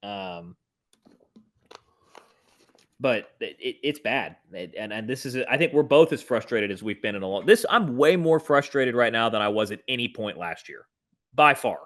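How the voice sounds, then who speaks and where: A man co-hosting a casual sports podcast. He speaks in a blunt, emphatic, often exasperated way. His voice sits at an ordinary middle pitch. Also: animated, normal speed. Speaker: podcast co-host.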